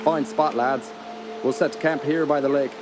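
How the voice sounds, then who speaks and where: A man playing a brisk, authoritative doctor who leads a hunting party in a radio drama. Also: a little high, fairly even intonation, background noise, close to an everyday voice. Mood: commanding. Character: commanding Scottish doctor leading a hunting party. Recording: loud music and outdoor sounds behind.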